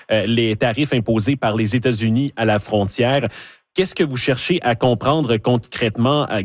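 A man hosting a radio interview, speaking in a measured way with frequent hesitations. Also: mid-range voice, no echo, studio quality. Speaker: radio show host. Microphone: studio microphone.

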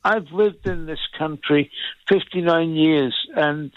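A man in his seventies with a Scottish accent, calling in to a talk radio show, speaking earnestly and haltingly. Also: fairly high pitch, no room sound, lo-fi sound. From Scotland. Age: 70s. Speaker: caller.

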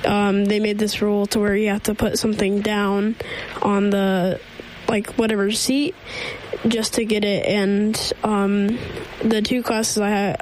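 A woman's voice: medium pitch, a little mellow, slight background noise, low-quality recording. A teenage girl answering interview questions on the radio, hesitant with many ums. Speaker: student guest.

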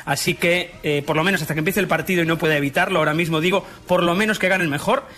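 A man's voice: on the high side, racing, bright, forceful tone. A man from Galicia chatting casually and giving opinions as a radio panellist. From Galicia, Spain.

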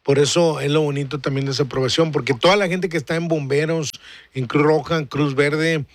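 A man's voice: fairly high pitch, fairly even intonation, a little fast, no room sound. A man in his forties hosting a radio show, chatting casually in a friendly way, rambling and repeating himself. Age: 40s. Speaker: radio host.

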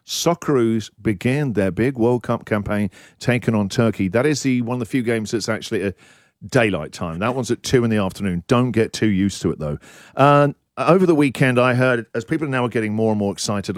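Excitable male breakfast radio host, dry studio sound. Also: lowish voice, fast, no echo. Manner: excitable, emphatic, rambling. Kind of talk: lively radio banter and monologue.

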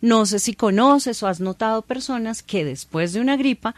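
A woman doctor, calmly explaining things to a host in an interview, using everyday examples. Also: a little high, normal speed, no room sound. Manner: calm, patient, explains plainly with everyday examples. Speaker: doctor, guest expert.

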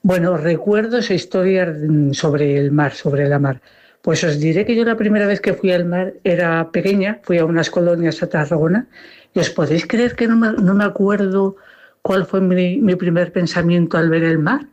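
A woman's voice: fairly low pitch, normal speed, a little mellow, highs cut off. A woman leaving a hesitant, casual voice message for a radio show. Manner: hesitant, with fillers. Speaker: listener sending a voice message.

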